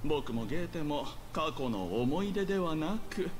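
A man's voice: on the high side, animated, moderate pace, slight background noise, lightly acted. An actor playing a slick, theatrically polite young hotel owner with showy salesman patter, in an anime game. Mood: smug, persuasive. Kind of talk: Japanese anime game voice acting.

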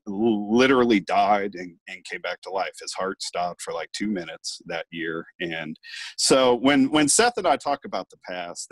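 Low-voiced man rambling on a call. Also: a bit bright, no room sound, low-quality recording. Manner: rambling, many restarts and fillers. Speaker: podcast host. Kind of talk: casual video-call chat. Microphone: earbud mic on video call.

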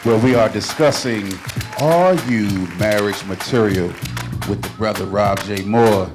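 A man's voice: on the low side, unhurried. A man hosting a talk show in a restaurant bar, upbeat and hyping it up, with a handheld mic. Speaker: host. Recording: music playing loudly behind.

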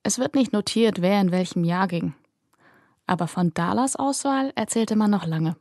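Calm woman narrating a fantasy audiobook. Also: medium pitch, moderate pace, dry recording, studio quality.

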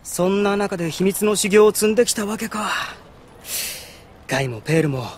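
High-voiced, brash anime teenage boy. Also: lively intonation, normal speed, slight background noise. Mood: curious, blunt. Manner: blunt, boyish. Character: brash, rough-spoken, excitable teenage swordsman. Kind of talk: Japanese anime audio drama acting.

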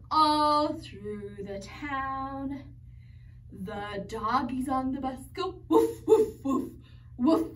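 Children's music teacher, woman in her thirties, high voice, unhurried sing-along delivery, mic a little distant. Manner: cheerful sing-along with playful animal and robot sounds. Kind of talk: singing children's song lesson. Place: studio set with plants and toys, indoors. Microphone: camera a few metres away. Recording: distant mic, faint room noise.

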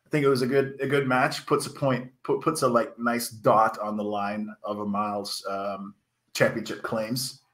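A man in his thirties co-commentating on a wrestling stream over a video call, slightly under the weather and coughing, excitable and rambling, with a headset mic. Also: on the low side, normal speed. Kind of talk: casual live-stream commentary chat.

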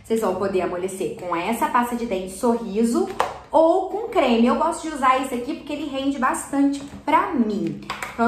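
A woman in her thirties hosts a hands-on slime tutorial, chatty and encouraging, checking in with viewers as she works. Her voice sits a little high. The sound carries a noticeable room echo from a small indoor studio. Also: a little hiss or hum. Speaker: slime channel host. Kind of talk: hands-on craft tutorial for kids. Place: indoor studio corner packed with colorful slime products. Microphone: fixed camera at table, mid shot.

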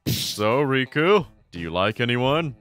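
A woman voicing a nosy, playful teenage school friend in a manga dub, teasing and expressive. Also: a bass voice, slow, over music, full character voice.

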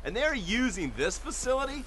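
An actor playing a cocky, abrasive federal agent in a video game, with a brash, sneering, bossy delivery. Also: male voice, extremely high pitch, big pitch swings, fairly quick, a bit bright, slight background noise, full character voice, poor audio. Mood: urgent conviction. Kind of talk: video game dialogue acting.

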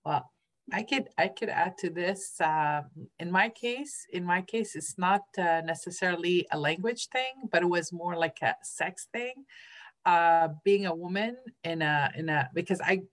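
A woman's voice: fairly low pitch, normal speed. A woman teacher sharing personal experiences with emphasis in a group discussion on a video call. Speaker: teacher, former engineering student.

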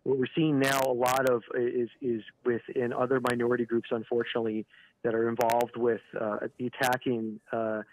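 A man giving a live news interview by phone, halting and repeating words, often saying "you know". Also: mid-range voice, completely flat delivery. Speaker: regional director of an advocacy organisation, interview guest by phone. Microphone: telephone. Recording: phone call with clear background noise.

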